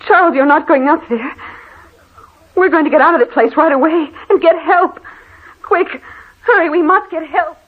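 Scared young wife, high anguished voice, old tinny radio horror play. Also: female voice, normal speed, long pauses. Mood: panicked. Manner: dramatic, anguished. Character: frightened, urgent young wife in horror tale. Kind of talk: 1940s radio drama acting.

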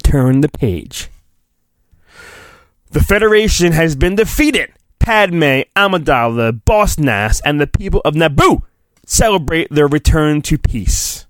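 A man's voice: fairly high pitch, animated, slow, dry recording. A man hosting a podcast, reading a storybook in a mock-dramatic, playful way with casual asides.

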